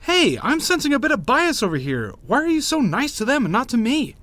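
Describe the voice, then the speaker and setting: A man's voice: extremely high pitch, very animated, a little fast, fairly bright tone, no echo, heavily acted. A man playing a cocky, theatrical young joker in an audio roleplay, given to mock offence. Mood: mock-offended. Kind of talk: interactive audio roleplay acting.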